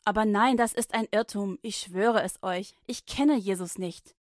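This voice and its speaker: A woman reading a frightened man in a storybook, flustered and protesting, voice raised in denial, slow, dry close sound. He is cornered and desperate. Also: medium pitch, dry recording, close to an everyday voice. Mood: denying. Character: troubled, frightened male disciple.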